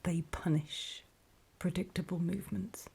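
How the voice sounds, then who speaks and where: Breathy, whispering woman as a wary apocalypse survivor. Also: fairly low pitch, fairly even intonation, exaggerated voice. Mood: wary, instructive. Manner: hushed, deliberate, halting storytelling with pauses. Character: wary, battle-worn female survivor of a zombie apocalypse. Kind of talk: whispered ASMR horror roleplay monologue.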